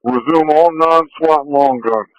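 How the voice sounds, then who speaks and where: A man's voice: a little high, slow pace, no echo. A man giving announcements to units over police radio. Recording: dull lo-fi police scanner radio.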